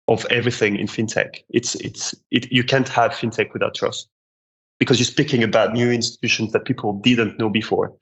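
A man's voice: fairly low pitch, slightly rough, poor audio. A man with a French accent, a podcast guest giving earnest answers and often repeating words as he thinks. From France. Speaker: guest, marketing director.

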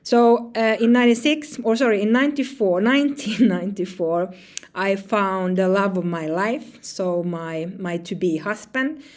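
Halting woman in her forties. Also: mid-range voice, darkish tone. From northern Sweden. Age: 40s. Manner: halting, many restarts and fillers, light laughs. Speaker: guest, fintech founder. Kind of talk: conversational interview answers.

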